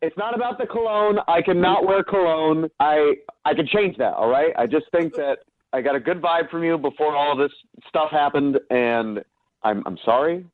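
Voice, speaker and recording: A man calling in to a radio dating segment over the telephone, talking casually in a defensive, halting way. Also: a little high, no echo. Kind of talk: casual phone argument. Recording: thin, dry phone line.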